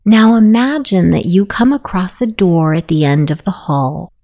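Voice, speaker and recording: A woman leading a guided meditation in a soothing, hushed manner with long pauses. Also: lowish voice. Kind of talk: guided meditation, self-hypnosis narration.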